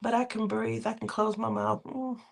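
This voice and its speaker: A woman's voice: fairly high pitch, level tone, creaky. A woman hosting a casual craft livestream, sounding nasal and a bit under the weather from a cold, rambling and laughing between her thoughts. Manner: rambling, laughs often, drawn-out filler words. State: recovering from a cold, sore throat.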